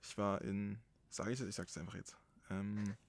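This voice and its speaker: A man from Saxony with a slight Saxon accent, hosting a podcast in casual, animated chat. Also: on the low side, a little slow, gravelly. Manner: animated, emphatic, swears casually. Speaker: podcast host.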